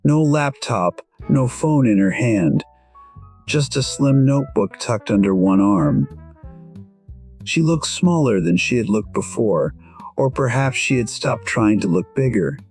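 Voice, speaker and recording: A gentle man in his sixties, voiced by an actor, narrates his own story in an audio drama. He speaks slowly at a medium pitch, reflective, deliberate and wistful. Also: expressive, faint music behind. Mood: reflective, tender. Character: reflective, gentle 62-year-old musician telling his story.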